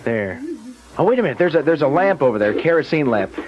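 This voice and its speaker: An actor playing a jittery, joking small-town policeman in a 1970s radio horror play, hesitant and excitable. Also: fairly high pitch, quick pace. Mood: uneasy. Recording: dull archive radio recording.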